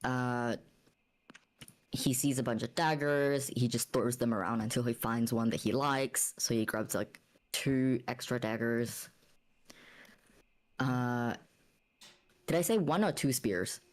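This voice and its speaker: Very low-voiced woman, haltingly narrating. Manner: halting, thinking aloud. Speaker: tabletop game player. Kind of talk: casual improvised narration of a character's actions.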